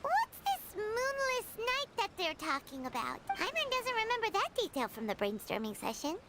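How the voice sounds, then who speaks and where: A woman's voice: normal speed, heavily acted. A woman voicing a tiny, jittery female fairy companion in a game, who talks about herself in the third person. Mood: curious. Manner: refers to herself in the third person, squeaky and excitable. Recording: game audio with loud music behind.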